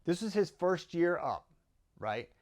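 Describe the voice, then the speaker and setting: A man's voice: high voice, sing-song, measured pace, a little mellow. A man with an American accent giving conversational sports analysis as a host, often posing questions and answering them himself. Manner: argues through rhetorical questions and tag questions. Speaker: wrestling commentator and host.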